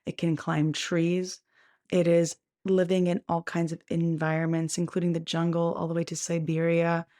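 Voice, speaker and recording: A woman's voice: on the low side, monotone, normal speed, warm tone, no echo, studio quality. A woman hosting a motivational podcast, talking earnestly and encouragingly, often checking in with "right?" and restarting phrases as she thinks. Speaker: podcast host.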